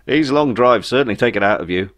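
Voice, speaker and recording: A man's voice: mid-range voice, animated, quick pace, fairly bright tone, natural voice. A man voicing a chatty, matter-of-fact alien spaceship pilot in a comic sci-fi audiobook. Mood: weary.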